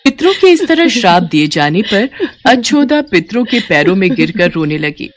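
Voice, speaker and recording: A woman's voice: medium pitch, sing-song, moderate pace. A woman storyteller reading a scripted devotional narration.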